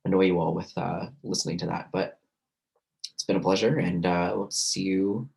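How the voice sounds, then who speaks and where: Hoarse low-voiced man lecturing. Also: fairly even intonation, normal speed, a little mellow, poor audio. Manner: loose, filler-heavy lecturing with many restarts. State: hoarse, losing his voice. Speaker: university lecturer. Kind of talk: recorded online lecture.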